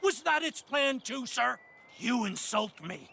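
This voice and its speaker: Pompous, creaky, high-pitched army colonel. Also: male voice, very animated, bright, forceful tone, slight background noise, heavily acted. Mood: outraged. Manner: pompous, halting, condescending. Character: pompous, blustering army colonel, short-tempered older officer. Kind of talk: dramatic video game acting.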